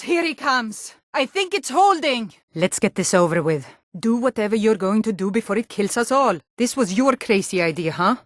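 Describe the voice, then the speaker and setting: A woman's voice: medium pitch, no echo, studio quality, lightly acted. A woman playing a wary, sardonic Nord guard in a fantasy game, talking in a blunt and skeptical way. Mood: tense. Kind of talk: fantasy video game dialogue.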